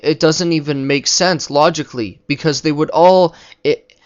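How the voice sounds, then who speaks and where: A man's voice: mid-range voice, clean tone. Didactic man in dull lo-fi audio. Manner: didactic, argumentative. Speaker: religious video commentator. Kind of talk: unscripted spoken commentary on religion.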